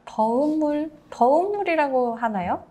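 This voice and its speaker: A woman's voice: high voice, animated, unhurried, background noise. A woman co-hosting a health show, chatting casually and reacting in a playful way. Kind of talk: casual chat.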